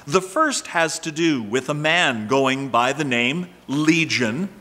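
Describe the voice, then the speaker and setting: An older man preaching a sermon at a church pulpit, reading from scripture with measured, expressive emphasis. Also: a little high. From Canada. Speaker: pastor. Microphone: pulpit mic.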